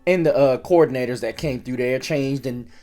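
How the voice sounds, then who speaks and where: A man's voice: mid-range voice, moderate pace, mellow. A man with a mid-range voice and a tired, slightly worn sound from being under the weather. He talks sports in a loose, rambling way, often restarting his sentences. Faint music plays underneath. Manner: rambling, conversational, with frequent restarts and fillers. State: voice weak from recent illness. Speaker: sports channel host. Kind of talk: casual sports commentary to viewers.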